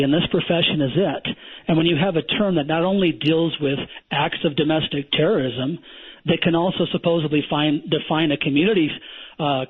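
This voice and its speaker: Blunt husky man on a phone line. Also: fairly high pitch. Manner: emphatic, lecturing, blunt. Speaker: survival instructor, guest. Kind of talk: phone-in radio interview.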